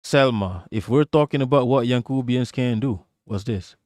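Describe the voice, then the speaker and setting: A man's voice: medium pitch, moderate pace, darkish tone, no echo, studio quality. A man using a put-on American accent as a livestream political commentator, reading aloud and then ranting. He is emphatic and profane, and he often stutters and repeats words.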